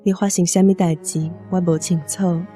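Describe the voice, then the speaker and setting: Radio drama role, female actor: solemn Taiwanese woman praying, earnest, measured, deeply mellow timbre. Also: level tone, faint music behind, close to an everyday voice. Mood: solemn, tender.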